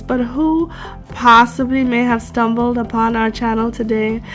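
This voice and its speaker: Woman craft host, unhurried and gracious, a bit stuffed up from a cold, soft music under her. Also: on the high side. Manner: slow, measured and gracious, addresses viewers as darlings. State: congested with a cold. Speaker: DIY craft channel host. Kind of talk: tutorial narration. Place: home craft table.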